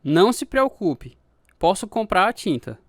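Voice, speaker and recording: Kind young husband, lively swooping pitch. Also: male voice, measured pace, no room sound, clean studio sound, exaggerated voice. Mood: polite, helpful. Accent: Brazilian. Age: young adult. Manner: reassuring, expressive. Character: devout young sailor husband, kind, reassuring, earnest. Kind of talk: radio drama acting.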